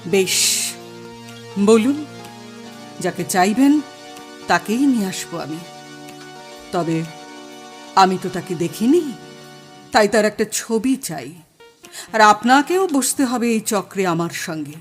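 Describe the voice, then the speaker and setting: An actress playing a dignified, solemn spirit medium who speaks deliberately in an audio drama. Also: female voice, mid-range voice, animated, soft background music. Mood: dignified, resolute. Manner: slow, deliberate, incantatory. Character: solemn, dignified female spirit medium, older aunt figure.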